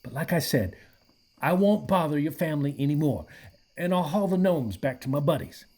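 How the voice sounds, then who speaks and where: An actor playing a mellow, apologetic aging hippie in a radio play, with hesitant, slangy speech. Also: male voice, a little high, expressive, normal speed. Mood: gentle, sincere. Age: middle-aged.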